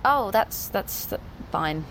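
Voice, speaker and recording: A woman's voice: medium pitch, animated, slow pace, slight background noise. A woman playing a troubled, literal-minded, questioning character in a naturalistic audio drama, earnest and hesitant. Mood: flustered. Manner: hesitant, earnest, at times hushed.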